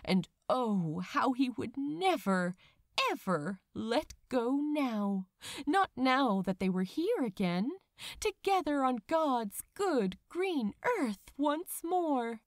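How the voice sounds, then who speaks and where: A woman's voice: sits high, big pitch swings, slow pace, no room sound, studio quality. A woman narrating a story dramatically, with an emotive, theatrical delivery. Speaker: narrator of fan fiction audiobook.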